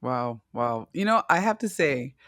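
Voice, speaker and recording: A woman's voice: a bass voice, very animated, measured pace, a bit bright, no room sound, studio quality. A woman from Zimbabwe, hosting a podcast interview in a chatty, affectionate way. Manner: chatty, affectionate, reads intro aloud. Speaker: podcast host.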